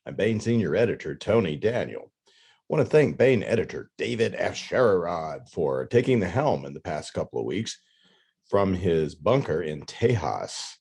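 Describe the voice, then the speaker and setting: A man's voice: low voice, sing-song, moderate pace, gravelly, a little mellow, breathy edge. A man hosting a podcast, reading scripted announcements with casual, tongue-in-cheek asides. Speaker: podcast host and book editor.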